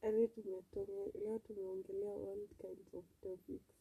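Very mellow, creaky Kenyan woman. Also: medium pitch, level tone, normal speed, dry recording, low-quality recording. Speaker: podcast co-host. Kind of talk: casual bantering chat, mixing Swahili and English.

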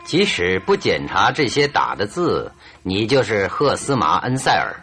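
An actor playing a shrewd, confident detective in a radio drama, reasoning aloud in a theatrical, emphatic and deliberate way. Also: male voice, lowish voice, very animated, fairly bright tone, soft background music, heavily acted. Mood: confident, accusing. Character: shrewd, confident male detective, stern yet teasing. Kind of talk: Chinese radio drama acting, expository monologue.

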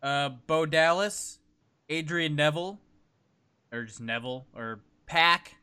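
A man's voice: a little high, measured pace, sharp tone, clean tone. A man hosting a casual podcast chat, leading the segments and reeling off lists of names. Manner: leads the segments, reels off names.

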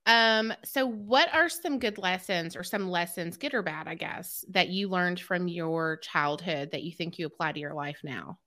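A woman's voice: on the low side, a bit bright. A woman hosting an interview, upbeat and eager in how she asks her questions. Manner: eager, upbeat, quick reactions. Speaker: interview host. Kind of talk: casual interview.

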